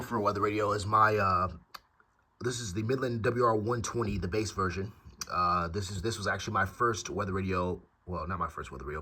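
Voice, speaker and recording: An American man giving a casual show-and-tell as a hobby video host, halting and correcting himself as he goes, recorded in a room at home. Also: on the low side, fairly bright tone. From United States. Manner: halting, pausing mid-sentence, self-correcting. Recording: clearly noisy amateur home recording with clicks.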